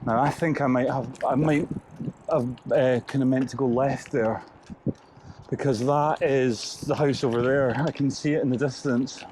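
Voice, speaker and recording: A man's voice: medium pitch, fairly even intonation, moderate pace, background noise. A man chatting casually and rambling with many fillers as he cycles along a coastal road. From Scotland. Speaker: vlogger cycling. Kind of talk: casual chat while riding. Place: outdoors on a bike by a coastal road.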